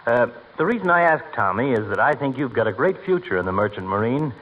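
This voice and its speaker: Pompous, teasing radio doctor. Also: male voice, mid-range voice, very animated, fast talker. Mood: teasing, genial. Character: teasing, pompous, wordy doctor, genial needler. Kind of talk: 1940s radio comedy acting. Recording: dull old radio recording, faint noise.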